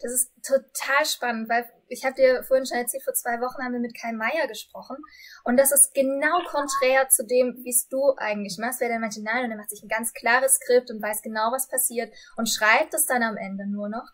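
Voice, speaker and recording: A woman's voice: fairly high pitch, steady tone, moderate pace. A woman in her thirties interviewing someone casually over a video call. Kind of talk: casual interview questions with listening responses. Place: room with bookshelves.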